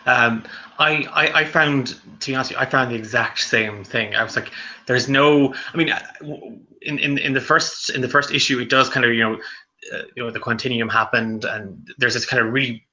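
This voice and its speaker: An Irish man hosting a casual book discussion, talking in halting bursts with many restarts. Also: medium pitch, fairly even intonation, sharp tone, muffled recording. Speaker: book club host.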